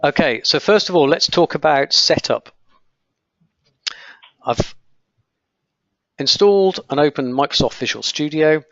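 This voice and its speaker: A man with a croaky voice, teaching a live webinar on software testing, explaining as he clicks through screens with frequent okays and ums. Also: a little high, expressive, unhurried, pauses often, no room sound, dull audio.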